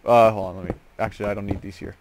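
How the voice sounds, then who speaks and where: A man's voice: fairly low pitch, fairly even intonation, rapid-fire, a little mellow. A man giving casual, rambling game commentary as host, drifting into joking asides. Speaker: host of a gaming series. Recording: clear background noise with clicks.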